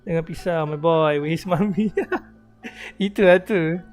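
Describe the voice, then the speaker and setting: A man's voice: fairly high pitch, a little slow, a little mellow. A Malaysian man chatting casually in slangy, code-switching live game commentary. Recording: game music behind the voice. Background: game music.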